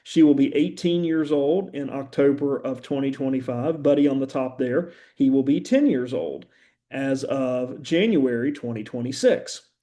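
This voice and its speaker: A middle-aged man from West Virginia, a university professor, giving a conversational, earnest introductory lecture with frequent "uh" pauses and an occasional stammer. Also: mid-range voice, dark tone. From West Virginia, USA.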